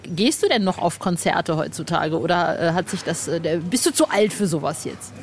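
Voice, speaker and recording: A woman's voice: on the low side, expressive, moderate pace, soft background music. A woman hosting a casual interview, talking in a chatty, informal, slangy way. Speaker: podcast host, interviewer. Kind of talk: casual chat. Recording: clear background noise.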